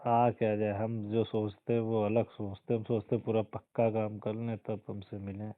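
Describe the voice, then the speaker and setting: A man's voice: lowish voice, flat delivery, normal speed, no room sound, lightly acted. A man playing a sweet-talking suitor, casual and coaxing, in an intimate phone-call conversation. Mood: earnest. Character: smooth-talking suitor sweet-talking his lady love. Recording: muffled phone call recording.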